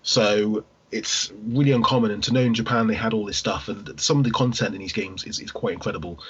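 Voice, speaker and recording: A man's voice: on the low side, fairly even intonation, brisk, a bit bright, breathy edge. A man co-hosting a podcast and chatting casually about games, repeating phrases hesitantly as he explains. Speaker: podcast co-host. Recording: dull, lo-fi voice recording.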